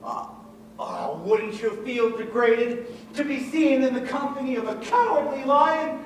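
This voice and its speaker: Big cowardly lion for a vintage movie musical: slow, boastful, then wailing; distant, echoey sound with music. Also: male voice, extremely high pitch. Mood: self-pitying. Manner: boastful bluster sliding into whimpering self-pity. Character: blustering cowardly male lion, big and timid. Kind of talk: 1930s film musical acting.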